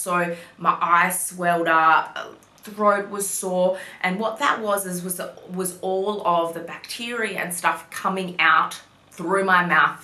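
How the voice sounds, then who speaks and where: A woman's voice: fairly low pitch, bright, forceful tone. A young woman chatting casually to camera, full of "like" fillers and little apologies. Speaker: vlogger. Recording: roomy echo.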